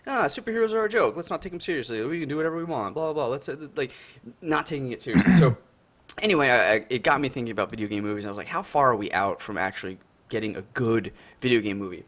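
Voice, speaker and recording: A man's voice: mid-range voice, lively intonation, quick pace, fairly bright tone. A man co-hosting a podcast over an online call, chatting casually in an enthusiastic, slangy way. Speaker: podcast co-host. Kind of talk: casual group chat.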